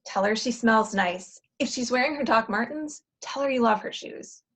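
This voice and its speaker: A woman playing a bossy, exasperated matchmaker in a comedy play table read, brisk and sardonic as she gives orders. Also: on the high side, fairly bright tone. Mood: instructing.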